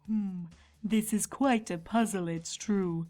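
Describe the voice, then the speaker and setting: A woman voicing a calm, wise female zebra herbalist who speaks in measured rhyme in a cartoon fan fiction audio drama. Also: mid-range voice, lightly acted. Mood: puzzled. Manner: measured, speaks in rhyming couplets. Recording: music behind the voice.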